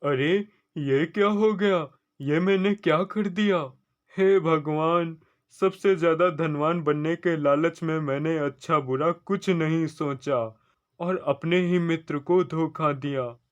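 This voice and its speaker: A man playing an envious, greedy young villager in a cartoon fable, with deliberate, declamatory delivery. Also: on the high side. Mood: distraught, regretful. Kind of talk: scripted cartoon story dialogue. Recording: slightly dull lo-fi studio track.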